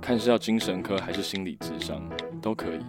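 A man playing a dry, unimpressed, calmly teasing friend in a radio drama, with a deadpan delivery. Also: deep voice, brisk, over loud music.

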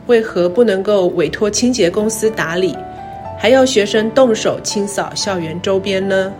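Middle-aged woman, Taiwanese Mandarin accent, reflective scripted narration, measured phrasing, clear music bed behind. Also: medium pitch. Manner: measured, short careful phrases. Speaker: university president. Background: background music.

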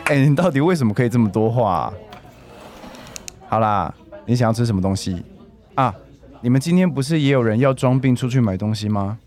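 A man playing a laid-back high school boy in a radio drama, nonchalant and matter-of-fact. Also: lively intonation, brisk, darkish tone, soft background music, close to an everyday voice. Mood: teasing.

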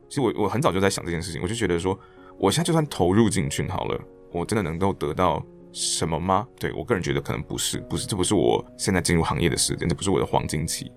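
A man's voice: sits low, vocal fry. A man with a Taiwanese Mandarin accent, talking earnestly and reflectively as a director and actor being interviewed. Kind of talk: reflective casual interview chat.

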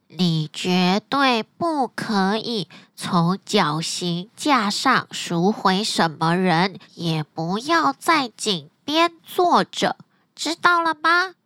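A woman's voice: fairly high pitch, animated, slow, pushed and bright, no room sound, full character voice. A woman voicing a clever, helpful little fox in a bedtime story, talking in a coaxing, instructive way. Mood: advising.

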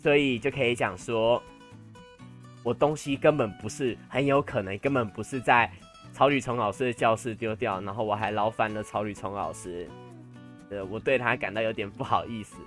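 A young man of high-school age with a Taiwanese Mandarin accent, telling stories casually and playfully as a podcast host, with a touch of drama. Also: moderate pace, fairly bright tone, soft background music. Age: teens to young adult, high school senior. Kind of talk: casual storytelling chat.

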